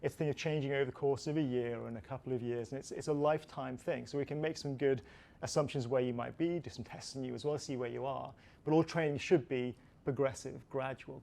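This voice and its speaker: A man in his fifties, a personal trainer explaining fitness in an energetic, motivational way. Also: medium pitch, vocal fry. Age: 50s. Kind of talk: expert explaining.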